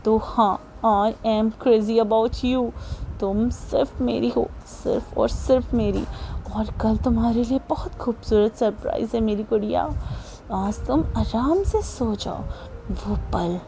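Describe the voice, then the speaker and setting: A woman voicing an obsessive, possessive young man who speaks in a low, slow, menacing murmur in a storybook romance. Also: warm tone, slight background noise, rough recording. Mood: menacing tender.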